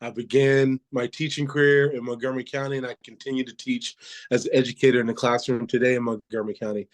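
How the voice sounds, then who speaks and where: A man's voice: medium pitch, flat delivery, fast. A man from Pittsburgh with a congested voice from a cold, a school board candidate answering interview questions earnestly, with many fillers. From Pittsburgh, Pennsylvania.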